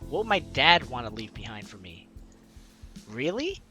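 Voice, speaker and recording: A man's voice: medium pitch, very animated, moderate pace, pushed and bright. A young man hosting a let's play, reading game text aloud with informal, jokey asides. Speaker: host of a gaming let's play series. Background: game music.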